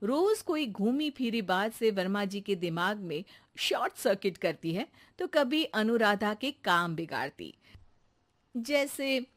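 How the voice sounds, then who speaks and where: A woman telling a cartoon story at an unhurried pace, her pitch moving with lively variation. The narration is scripted and steady, laying out events plainly. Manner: steady, matter-of-fact storytelling.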